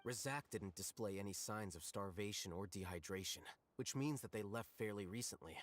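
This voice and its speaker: An actor playing a calm, analytical male scholar in a game, measured and deductive. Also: on the low side, slight background noise, lightly acted. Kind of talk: game voice acting.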